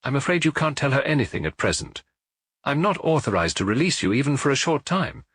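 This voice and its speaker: A man voicing a weary older police detective who questions calmly and firmly, with measured compassion. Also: medium pitch, husky, dry recording, studio quality, pitched above the performer's own voice. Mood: firm, gentle.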